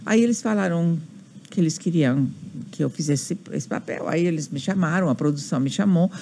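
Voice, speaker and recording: A woman's voice: low voice, fairly quick, vocal fry, mellow. An older woman from Argentina who speaks Portuguese with an Argentinian Spanish accent, telling stories in a casual interview with many restarts. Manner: storytelling with acted quotes and frequent restarts. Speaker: guest.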